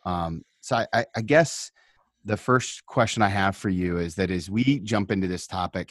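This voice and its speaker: Deep-voiced eager podcast host man. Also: dry recording, clean studio sound. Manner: eager, friendly questions with hesitant fillers. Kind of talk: podcast interview conversation.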